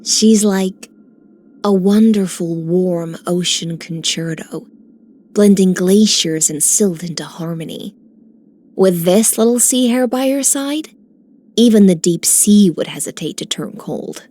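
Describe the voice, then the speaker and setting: A woman voicing a gentle, poetic sea maiden in a video game, soft and lyrical. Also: lowish voice, takes it slowly. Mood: dreamy. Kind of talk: video game character voice lines.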